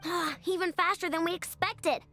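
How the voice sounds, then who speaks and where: An actress voicing a tiny, excitable, childlike fairy companion in a video game, squeaky and flustered. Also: female voice, moderate pace, soft background music. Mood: alarmed. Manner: exclamatory, flustered.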